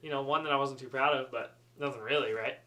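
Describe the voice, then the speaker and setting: A man telling a casual, humorous story in an animated, chatty, self-deprecating way. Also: mid-range voice, quick pace. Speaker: student pilot storyteller.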